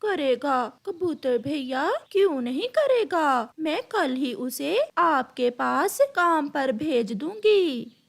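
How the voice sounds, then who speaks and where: A woman's voice: sits high, lively intonation, slow pace, vocal fry, heavily acted. A woman voicing a nagging, hard-pressed crow wife in a cartoon story, complaining and insistent. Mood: coaxing. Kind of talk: Hindi animated moral-story acting.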